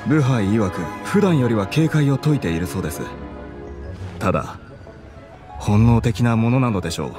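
A man's voice: medium pitch, lively intonation, moderate pace, long pauses, music in the background, natural voice. A man playing a dutiful young intelligence subordinate in an anime game, polite, earnest and formal, as if giving a report.

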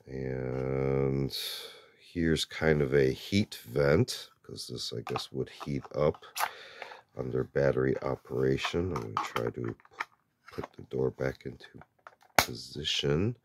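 A man's voice: rumbling low, slow, noisy background. A man hosting a show-and-tell video, narrating casually and hesitantly with many filler words while handling an object at a table indoors. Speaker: host of a show-and-tell channel.

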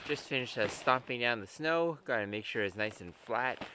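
A man's voice: medium pitch, expressive, normal speed, noisy background. A man casually explaining his winter camp setup outdoors at a snowy campsite, vlog style. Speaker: outdoor vlogger.

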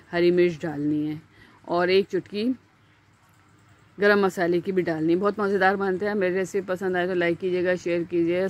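A woman with a Pakistani Urdu accent, hosting a home cooking channel and explaining each step in a chatty voice-over with small pauses. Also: lowish voice, muffled recording. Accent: Urdu-speaking Pakistani.

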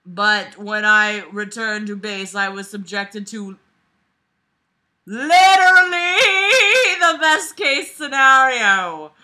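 A woman's voice: mid-range voice, slow pace, pushed and bright. A young adult woman reading a book aloud with casual running commentary, sarcastic, exasperated and freely swearing, recorded in a bedroom. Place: small bedroom, lying on a bed. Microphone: device camera microphone at arm's length.

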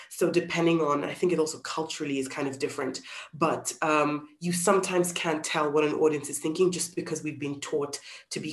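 Woman from Zambia in an echoey room. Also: on the low side. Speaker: actor being interviewed. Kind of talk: thoughtful interview answers. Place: small plain-walled room indoors. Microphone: computer mic on video call. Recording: roomy, echoey video call.

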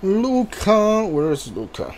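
A man giving casual video game commentary, thinking aloud in a halting way and reading bits out as he goes. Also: high-pitched, huge pitch swings, darkish tone, soft background music. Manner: halting, mutters to himself, reads guide and subtitles aloud.

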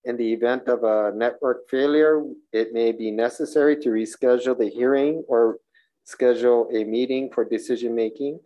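A man's voice: medium pitch, steady tone, moderate pace, dark tone, rough recording. A man in his forties chairing a legislative hearing from a desk microphone in a hearing room, reading rules and calling testifiers with informal asides. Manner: informal asides, stumbles over names. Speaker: committee chair running a public hearing. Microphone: desk microphone on a stand.

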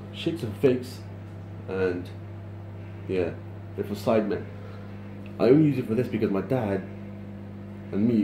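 A man's voice: on the low side, roomy sound, noisy background. A young man, vlogging casually to his phone at home and joking in slang banter. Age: young adult. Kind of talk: casual vlog to camera. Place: home kitchen indoors. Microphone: phone camera held at arm's length.

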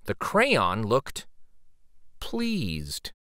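A man reading a children's story aloud dramatically, with theatrical, suspenseful pauses. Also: fairly low pitch, huge pitch swings, bright, forceful tone, no echo, clean studio sound.

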